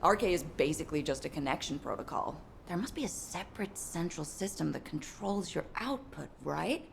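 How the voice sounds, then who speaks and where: An actress playing an excitable, curious, outspoken young woman engineer, chatty and exuberant, in a video game. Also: low voice, moderate pace, gravelly, a little hiss or hum. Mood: playful, fascinated. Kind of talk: video game cutscene acting.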